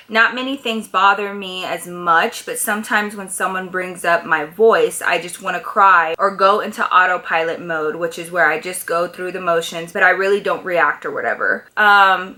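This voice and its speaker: Bright-voiced, blunt woman vlogger. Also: medium pitch. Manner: blunt, candid, emphatic girl talk. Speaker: advice vlogger. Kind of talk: casual advice chat to viewers.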